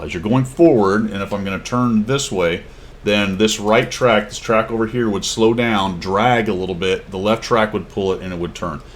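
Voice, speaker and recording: A man hosting a casual gameplay tutorial, explaining in a relaxed, chatty way with asides and fillers. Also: fairly low pitch, a little hiss or hum. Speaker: gaming channel host giving a tip.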